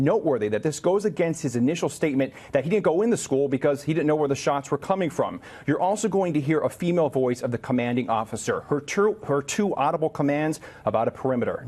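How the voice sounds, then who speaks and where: Male news correspondent, American accent, scripted report narration, middle pitch. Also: a little mellow.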